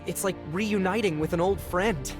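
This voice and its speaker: A woman's voice: lowish voice, normal speed, lightly acted. A woman playing an earnest young member of a heroic sky crew in a video game dub. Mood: moved. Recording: voice over background music.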